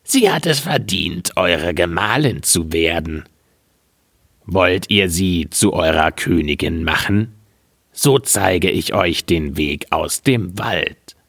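Man putting on a slow, sly old witch. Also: low voice, animated, a bit bright, no echo, clean studio sound, exaggerated voice. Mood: sly, commanding. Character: sly old forest witch making demands.

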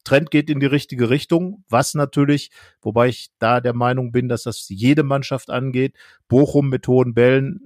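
Dude breaking down a match on a podcast, rambly, lots of ums, dry sound. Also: male voice, mid-range voice, no echo. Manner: rambling, many fillers. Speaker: podcast co-host. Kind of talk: casual football analysis.

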